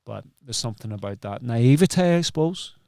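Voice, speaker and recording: A man's voice: mid-range voice, lively intonation, normal speed, vocal fry, dark tone, no echo, clean studio sound. A man hosting an interview in reflective conversation, rephrasing and repeating his points for emphasis. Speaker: podcast host and interviewer.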